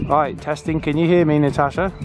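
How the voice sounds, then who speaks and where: A man hosts a casual hobby vlog outdoors in a slightly higher-pitched voice. He chats informally and explains things in an encouraging, down-to-earth way. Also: steady tone, brisk, heavy background noise. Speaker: vlog host demonstrating radio repair. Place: outdoors on a walk.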